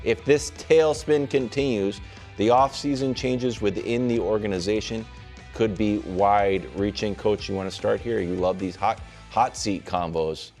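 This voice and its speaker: A man hosting a sports panel show, talking in an animated sports-debate style and steering the discussion. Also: lowish voice, measured pace, faint music behind.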